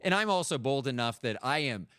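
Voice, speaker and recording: A man's voice: medium pitch, animated, fairly quick, sharp tone, smooth, no room sound, studio quality. A middle-aged American man hosting a podcast, chatting casually in a candid, rambling way. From United States. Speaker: podcast host. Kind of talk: casual conversational interview.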